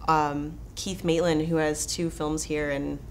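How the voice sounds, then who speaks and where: A woman's voice: low voice, little pitch movement, a little slow, slight background noise. An enthusiastic American woman from Texas, a documentary filmmaker talking conversationally as a festival interview guest. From Texas, United States.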